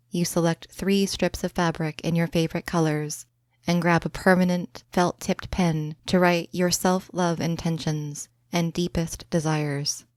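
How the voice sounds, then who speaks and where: A woman narrating a scripted guided imagery meditation, slow, soft and soothing. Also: lowish voice, no room sound, studio quality.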